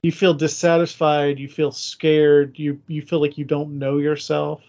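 A man's voice: on the high side, little pitch movement, unhurried, darkish tone. Plain-spoken man on slightly dull audio. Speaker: podcast host. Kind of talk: casual chat about a radio drama.

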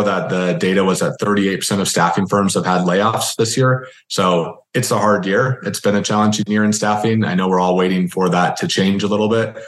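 A man hosting a casual interview podcast, talking in a halting way and rephrasing as he goes. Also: low voice, a bit bright. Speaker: podcast host. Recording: roomy, echoing sound.

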